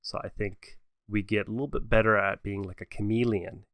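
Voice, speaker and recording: A young adult man co-hosting a podcast, talking in a relaxed, reflective way and laughing often. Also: fairly low pitch, fairly quick, dry recording, studio quality. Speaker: podcast co-host. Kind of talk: casual conversation.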